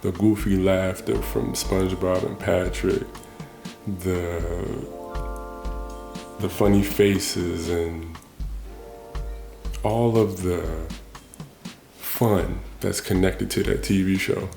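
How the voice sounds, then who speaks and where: A man's voice: low voice, steady tone, slow pace, creaky, long pauses, echoey room, music in the background. A man giving a casual, reflective monologue in an informal, rambling way, repeating phrases for emphasis. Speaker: host of a personal talk show.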